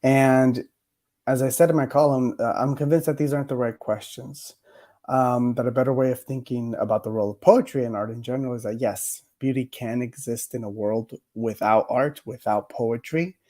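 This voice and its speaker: A man's voice: medium pitch, fairly even intonation, moderate pace, mellow. A man giving a reflective lecture on poetry, hesitant with many fillers, his voice a little worn from a cough. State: recovering from a cough, voice a bit worn. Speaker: poet laureate giving a lecture.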